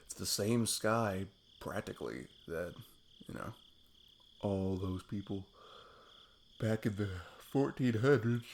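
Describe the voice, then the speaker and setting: A man's voice: lowish voice, expressive, slow pace, gravelly, long pauses, heavily acted. A man playing a sweet, goofy best friend on a date in an ASMR roleplay, rambling playfully and poking fun at himself. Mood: musing. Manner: rambling, playful, self-deprecating. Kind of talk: intimate ASMR roleplay, improvised casual chat.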